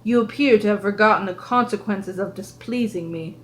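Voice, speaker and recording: A woman's voice: medium pitch, normal speed, slight background noise, close to an everyday voice, poor audio. A woman reading a storybook part as a prim, imperious grandmother with a British accent and a formal, authoritative delivery. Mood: cold displeasure. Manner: authoritative, clipped, menacing formality. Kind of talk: audiobook character reading.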